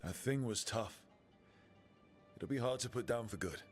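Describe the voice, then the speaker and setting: A man's voice: medium pitch, a little slow, husky, faint music behind. A man playing a resolute, level-headed young team leader in a fantasy video game, decisive and earnest. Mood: grim. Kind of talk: video game dialogue acting.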